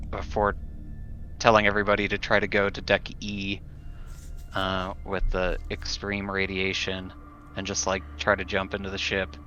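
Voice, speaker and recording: A man's voice: on the low side, sharp tone. A man playing a tabletop game, improvising his character's words in a casual way. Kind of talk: casual improvised role-play. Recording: dull lo-fi sound, faint music.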